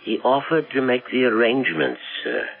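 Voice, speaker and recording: An actor playing a formal, hesitant and deferential manservant in a radio drama. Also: male voice, medium pitch, big pitch swings, normal speed. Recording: tinny phone-like radio recording with music.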